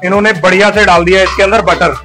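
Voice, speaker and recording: A man's voice: high-pitched, fairly even intonation, quick pace. A man hosting a casual food vlog on location in a restaurant, talking in an enthusiastic, repetitive way. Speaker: food vlogger, host. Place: inside a busy restaurant and its kitchen. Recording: music under location speech. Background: music.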